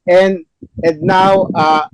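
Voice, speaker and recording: A man with a rather high voice hosts a table read and reads out stage directions. His phrasing is halting and often repeats itself, and the sound is dry, with no room around it. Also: fairly even intonation, clean tone, no room sound, low-quality recording. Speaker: show host and stage-direction reader. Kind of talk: hosting and narrating a play table read.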